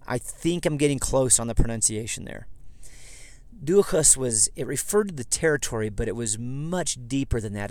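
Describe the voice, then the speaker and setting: A man from southeast Idaho with a slightly hoarse, froggy voice, talking as a podcast host in a rambling, self-correcting way. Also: mid-range voice, dry recording. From southeast Idaho, USA. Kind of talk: casual history podcast monologue. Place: inside a parked vehicle.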